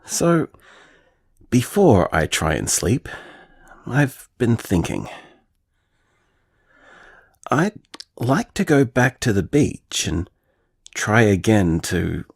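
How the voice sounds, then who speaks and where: A man's voice: fairly low pitch, gravelly, a little mellow, breathy edge, full character voice. An actor playing an earnest, restless young man in a naturalistic audio drama, speaking hesitantly and reflectively as if leaving voicemails. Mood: hopeful, pensive.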